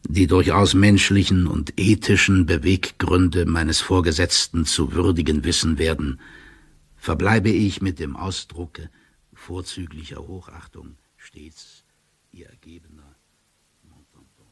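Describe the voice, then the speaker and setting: A man playing a deferential, pedantic court clerk who reads out his letter in a formal, measured manner. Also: sits low, steady tone, husky, exaggerated voice. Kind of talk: formal dramatic letter reading in an audio drama.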